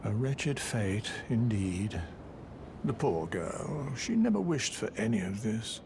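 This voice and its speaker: An actor playing an eccentric, shrewd scholarly sage in a video game, musing in theatrical, drawn-out phrases. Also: male voice, on the low side, sing-song, gravelly, darkish tone, slight background noise, heavily acted. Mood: conspiratorial, scornful.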